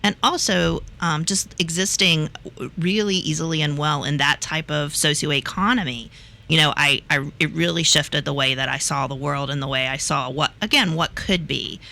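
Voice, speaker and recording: Woman in her fifties, from West Virginia, gravelly edge, chatty guest, lots of ums. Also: low voice, steady tone, moderate pace, sharp tone. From West Virginia, USA. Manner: chatty, laughs easily, many fillers and restarts. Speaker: guest, reproductive rights activist. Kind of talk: casual interview talk.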